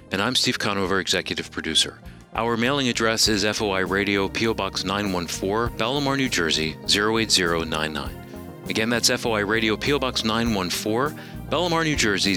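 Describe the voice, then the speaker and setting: Low-voiced male radio announcer over music. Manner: steady, formal read. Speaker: announcer and executive producer. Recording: radio with theme music underneath. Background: theme music.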